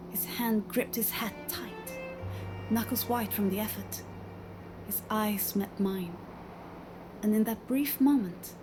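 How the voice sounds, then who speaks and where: A woman playing a grieving, haunted narrator who recalls a burial in a solemn, hushed and deliberate way. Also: mid-range voice, close to an everyday voice. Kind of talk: scripted dramatic first-person narration. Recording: music behind the voice. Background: music.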